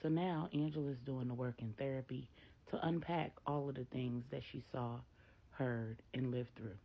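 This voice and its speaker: A woman's voice: a bass voice, fairly even intonation, normal speed, creaky, muffled recording. A woman storyteller narrating a dramatic tale in the present tense.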